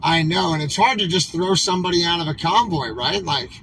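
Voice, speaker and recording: A man's voice: on the high side, lively intonation, brisk, sharp tone. A man livestreaming from a moving vehicle in casual talk, rambling and emphatic with lots of "like" fillers. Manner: rambling, emphatic, heavy use of "like". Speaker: convoy livestreamer. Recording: distant mic, clear road noise.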